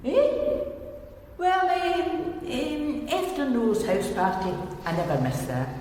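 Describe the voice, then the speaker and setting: An actress playing a grumbling working-class Scottish housewife in a radio drama, chatty, rambling and full of exclamations. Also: female voice, sits high, animated, slow, a little mellow. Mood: rambling complaint. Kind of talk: naturalistic radio drama acting. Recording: echoey stairwell-like reverb, faint noise.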